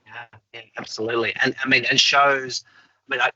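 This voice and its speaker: A man's voice: medium pitch, level tone, a little fast, bright, forceful tone, lo-fi sound, a little hiss or hum. A man hosting a casual interview, chatting in an informal, agreeable way. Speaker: interviewer, host.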